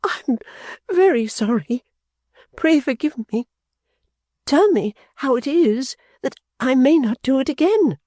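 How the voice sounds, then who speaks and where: A woman reader voicing a gentle, timid young woman who speaks softly and earnestly pleads. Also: mid-range voice, lively intonation, measured pace, dry recording, clean studio sound, pitched above the performer's own voice, lightly acted. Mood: pleading.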